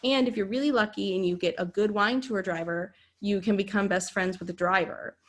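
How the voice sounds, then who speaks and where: A woman with a Minnesotan accent talks casually as a podcast guest. She is bubbly and laughs often, and her stories ramble along. Her voice sits at a middle pitch. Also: steady tone, a little fast. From Minnesota, USA. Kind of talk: casual chat.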